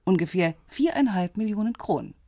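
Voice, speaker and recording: A woman's voice: medium pitch, lively intonation, fairly quick, mellow, no echo, studio quality. An actress playing a self-assured police inspector in her forties in a radio crime drama, speaking in a businesslike, matter-of-fact way. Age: 40s.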